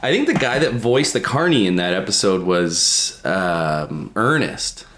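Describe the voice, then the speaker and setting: A man's voice: low voice, sing-song, unhurried, fairly bright tone, slight background noise. A man chatting casually as a podcast co-host, with a teasing, profane sense of humor. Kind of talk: casual chat.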